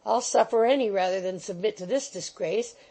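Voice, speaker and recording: A woman voicing an indignant, proud gentleman who speaks in a heated, emphatic way. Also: medium pitch, a little fast, natural voice.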